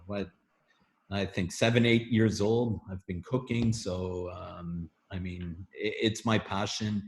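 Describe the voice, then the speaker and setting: Middle-aged man from Montreal, low voice, echoey room, relaxed program manager. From Montreal, Canada. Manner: relaxed and upbeat, with some fillers. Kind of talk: casual webinar conversation. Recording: roomy echo on a video call.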